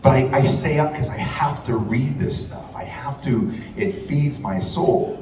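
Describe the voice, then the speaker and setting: A man's voice: mid-range voice, measured pace. A man giving an impassioned, repetitive, profane rally speech in a large event hall. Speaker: rally speaker and radio host. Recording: echoey hall, distant, loud crowd. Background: loud crowd and hall noise.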